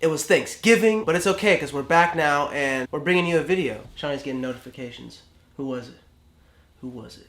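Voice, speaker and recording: A man's voice: on the high side, lively intonation, brisk, echoey room, heavy background noise. A young man with a stuffy, congested voice, chatting casually as a vlog host and reading a story aloud. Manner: laid-back, chatty, frequent fillers. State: sick and congested.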